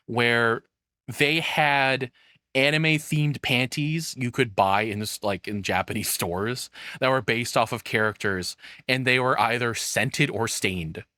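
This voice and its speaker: A man's voice: mid-range voice, bright, forceful tone, dry recording. Guy streamer reacting live, rambly n sweary, casual talk, really dry sound. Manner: profane, rambling, informal.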